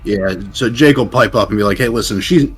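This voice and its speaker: A man's voice: on the low side, brisk, husky. A man playing a tabletop role-playing game, chatting casually and speaking for his character.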